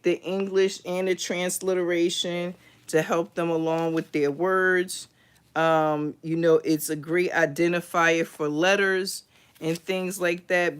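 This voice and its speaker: Middle-aged woman, livestream book host, slow and reflective, clean resonant tone. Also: deep voice, clear, resonant tone. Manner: unhurried, thoughtful, many fillers and restarts. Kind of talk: casual livestream book talk.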